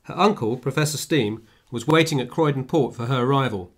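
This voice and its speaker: Formal, measured male storyteller voiced by an actor. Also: medium pitch, lightly acted. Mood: measured, reflective. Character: male former reporter recounting a true Victorian adventure. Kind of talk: scripted radio play narration.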